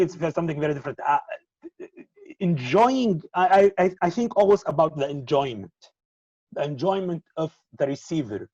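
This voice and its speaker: A man whose first language is Arabic, answering interview questions about filmmaking in a halting way and often repeating words. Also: fairly high pitch, a little mellow, no echo, dull audio. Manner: halting, repeats words while searching for them.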